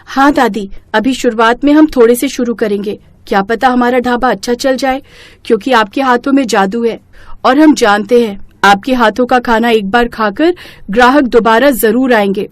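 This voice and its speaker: A woman's voice: on the high side, normal speed, no echo, natural voice. A woman voicing a caring, polite young girl in an earnest, reassuring storybook manner. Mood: reassuring, eager. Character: young twin granddaughters, eager, caring, polite little girls. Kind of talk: storybook character acting.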